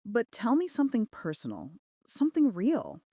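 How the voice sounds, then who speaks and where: A woman's voice: on the high side, wildly swooping pitch, normal speed, dark tone, no echo, studio quality. A woman voicing an earnest, devoted male mafia boss in love in a romance audiobook. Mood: curious, intimate.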